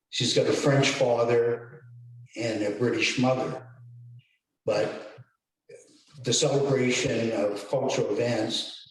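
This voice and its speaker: Male guest speaker, unhurried and reflective, echoey distant call audio. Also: mid-range voice. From Canada. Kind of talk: casual talk on a video call.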